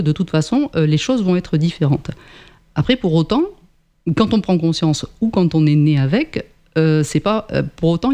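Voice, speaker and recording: A man's voice: a little high, mellow. A French-speaking man in his fifties, a guest answering interview questions about climate work, hesitant with many fillers and restarts. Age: 50s. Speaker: guest, climate association member.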